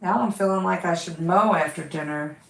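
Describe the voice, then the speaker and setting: A woman's voice: fairly low pitch, a little fast, creaky, reverberant, noisy background. A woman who has lost her voice, hosting a quilting livestream, chatting casually with viewers and reading their comments while she sews.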